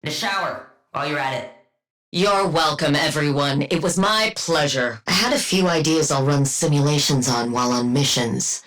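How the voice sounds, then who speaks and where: A woman's voice: sits low, normal speed, fairly bright tone, poor audio. An actress voicing a brainy, exacting woman scientist supervillain for a video game, precise and nerdy, with a dry sardonic edge. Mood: low-key, wry. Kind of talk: video game combat barks and banter.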